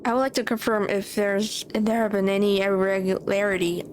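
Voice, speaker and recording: A woman's voice: medium pitch, fairly even intonation, fairly quick, a little mellow, a little hiss or hum. A woman streaming a game playthrough with casual, laid-back commentary, sometimes reading choices aloud. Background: game music.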